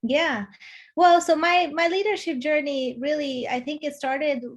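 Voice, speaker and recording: A woman's voice: sits high, a little slow. A woman in her thirties from Romania, speaking with a Romanian accent as a guest in an online interview, talking with many fillers and restarts. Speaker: guest, executive coach.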